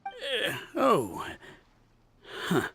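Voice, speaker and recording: A man's voice: extremely high pitch, wildly swooping pitch, drawn-out words, creaky, pushed and bright, heavily acted. A man playing a self-important scholar of antiquities in a video game, pompous and declamatory. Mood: flustered.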